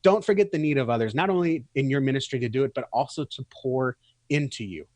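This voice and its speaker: A man's voice: mid-range voice, fast talker, a little mellow, no room sound. A man co-hosting a casual ministry chat, easygoing and joking, often repeating words as he goes. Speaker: co-host, training academy founder. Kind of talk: casual conversational podcast.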